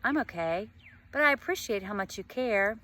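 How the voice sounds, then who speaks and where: Schoolgirl friend, played by a female reader: bright, light, conversational, deflecting, storybook dialogue. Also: mid-range voice, expressive, moderate pace. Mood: dismissive, evasive. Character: schoolgirl friend, apologetic, evasive, brushing off worry.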